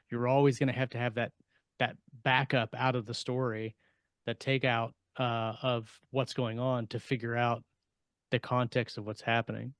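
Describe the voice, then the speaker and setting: Dry-sounding middle-aged man, halting explainer. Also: mid-range voice, clear, resonant tone, no room sound. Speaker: podcast co-host and science fiction author. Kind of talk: casual panel discussion. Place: small home room lined with shelves. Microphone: close desk microphone with headset, on a video call.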